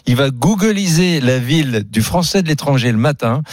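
A man's voice: medium pitch, animated, moderate pace, no room sound, clean studio sound. A man co-hosting a radio talk show, teasing and interrupting with mock indignation. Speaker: radio co-host. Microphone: studio mic.

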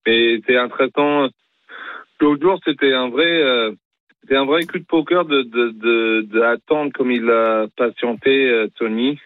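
A man's voice: mid-range voice, fairly even intonation, measured pace, no echo. British man on a tinny phone line. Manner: hesitant, many fillers and restarts. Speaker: horse trainer guest. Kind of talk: phone interview.

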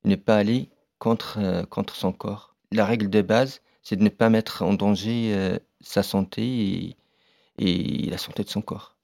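Low gravelly male runner, halting. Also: fairly even intonation, darkish tone, no echo, studio quality. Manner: halting, hesitant, with many fillers. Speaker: marathon runner, guest. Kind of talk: radio discussion.

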